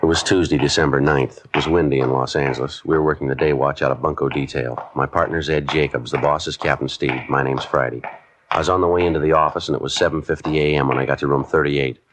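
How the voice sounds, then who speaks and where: An American actor playing a stoic, businesslike police sergeant in a 1950s radio drama, speaking in terse, matter-of-fact phrases. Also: male voice, a bass voice, steady tone, fast, background noise. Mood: flat, matter-of-fact. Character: stoic, businesslike male police detective sergeant, narrating his cases. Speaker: lead actor and narrator.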